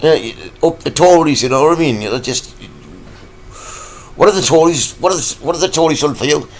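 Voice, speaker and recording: A man, croaky from a cold, ranting in a rambling, halting vlog full of fillers. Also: fairly high pitch, slight background noise. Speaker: vlogger.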